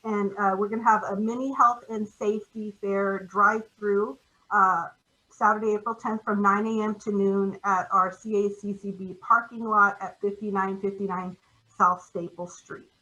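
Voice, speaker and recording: A woman presenting community services informally on a video call, hesitant, with frequent pauses and ums. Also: medium pitch, little pitch movement, sharp tone. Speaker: community organization representative. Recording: dull, lo-fi video call audio.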